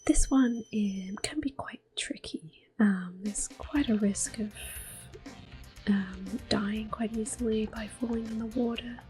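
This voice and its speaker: A woman giving hushed, gentle, soft-spoken ASMR commentary as she plays a video game. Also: fairly high pitch, slow. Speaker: ASMR gaming host. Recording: soft music in the background. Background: quiet game music.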